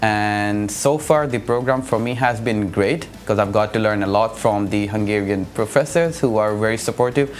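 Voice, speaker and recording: Young man, lowish voice. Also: moderate pace, hardly any pauses. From Pakistan. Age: young adult. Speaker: biotechnology student. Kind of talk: testimonial.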